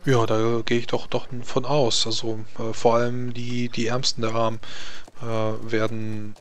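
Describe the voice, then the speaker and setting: A man improvising in character as a tabletop game master, explaining things in a halting way. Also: medium pitch, little pitch movement.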